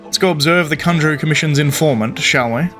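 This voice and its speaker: A man's voice: a little high, a little fast, music in the background. A man giving casual gameplay commentary as a streamer, thinking aloud as he plays. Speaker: video game streamer.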